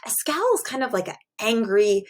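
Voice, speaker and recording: A woman with a fairly high voice speaks as a teacher to young children. She explains in simple words and puts questions to her listeners. Her delivery is expressive, in the style of a classroom read-aloud. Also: moderate pace.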